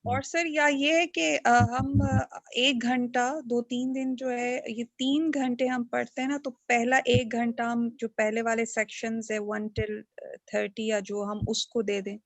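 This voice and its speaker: Female student with Pakistani accent, higher register, courteous and conversational, little room sound. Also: flat delivery, slow, dry recording. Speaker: student in an online law class. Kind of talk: casual class discussion.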